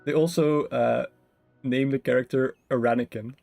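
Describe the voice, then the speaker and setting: A man's voice: medium pitch, steady tone, moderate pace, creaky, a little mellow. A man streaming a game with casual, rambling, joking and giggly commentary. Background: game music.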